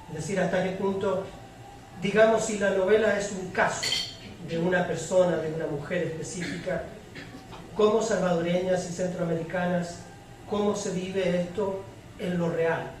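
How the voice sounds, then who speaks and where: Male debate moderator, high voice, searching for words, distant from the mic. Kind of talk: spontaneous moderating and questioning. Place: public debate room, picked up from afar. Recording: distant, very echoey room, faint noise.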